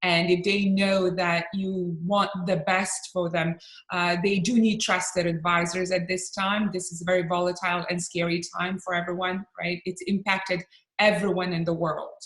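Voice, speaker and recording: A woman's voice: lowish voice, a bit bright. Earnest woman in an echoey room. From Bosnia and Croatia. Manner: earnest, measured, pauses to pick words. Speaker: guest, real estate agent. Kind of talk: interview conversation. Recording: roomy echo.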